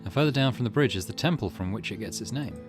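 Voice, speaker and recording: A man voicing a wary, excitable young hero of a visual novel, full of questions. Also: fairly low pitch, fast, natural voice. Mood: musing. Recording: music behind the voice.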